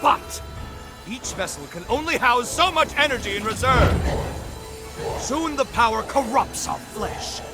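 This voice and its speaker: Casting: vain, theatrical undying scholar in a video game; high voice, very animated delivery, florid and lecturing. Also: male voice, a little slow, exaggerated voice. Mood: dramatic lecturing. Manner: pompous, florid, dramatic, lecturing. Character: flamboyant, grandiose male immortal revenant scholar, theatrical and self-important. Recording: game music behind voice. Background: game music.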